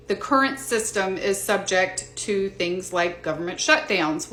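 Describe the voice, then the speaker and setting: A woman with an American accent presenting explanatory commentary in a measured, informative way. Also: mid-range voice, a bit bright. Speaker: presenter of an aviation discussion. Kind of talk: explanatory scripted commentary to viewers. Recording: echoey, reverberant room.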